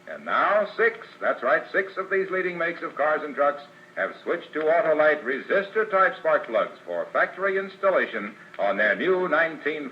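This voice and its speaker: A man's voice: on the high side, slight background noise. An American man working as a 1940s radio announcer, polished and declamatory with a salesman's delivery, heard on a muffled vintage broadcast.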